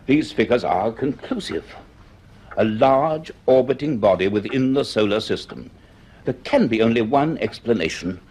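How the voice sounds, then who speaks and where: An actor playing a grave, cultured middle-aged professor in a vintage radio drama, speaking in a measured, dramatic way. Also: male voice, mid-range voice, expressive, a little mellow, slightly rough. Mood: awed, excited. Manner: measured, deliberate, dramatic delivery. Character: grave, cultured male professor narrating his dreadful discovery.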